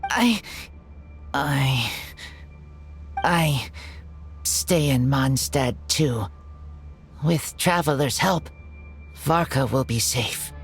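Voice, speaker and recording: A halting wolf-raised youth. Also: male voice, mid-range voice, lively intonation, soft background music. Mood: worried. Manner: broken, halting, hesitant words. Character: wild boy raised by wolves, halting speech.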